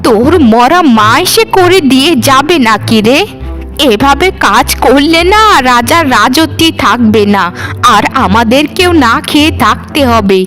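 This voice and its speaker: A woman's voice: high-pitched, lively intonation, unhurried, fairly bright tone, faint music behind. A woman voicing a cruel, domineering middle-aged mother-in-law in a cartoon, scolding and threatening. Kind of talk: cartoon voice acting.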